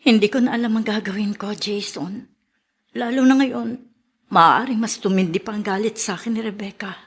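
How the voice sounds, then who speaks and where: A woman playing an anxious, soft-hearted mother in a radio drama, speaking hesitantly and emotively. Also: mid-range voice, normal speed, husky. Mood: fearful. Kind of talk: Filipino radio drama acting.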